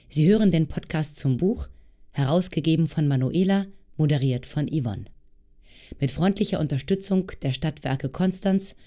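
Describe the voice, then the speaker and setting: Mellow warm podcast narrator, measured scripted intro. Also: mid-range voice.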